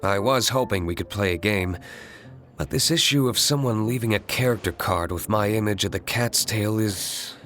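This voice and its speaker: Composed low-voiced young gentleman played by an actor. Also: faint music behind, close to an everyday voice. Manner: measured, reserved, formal. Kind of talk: English video game voice acting.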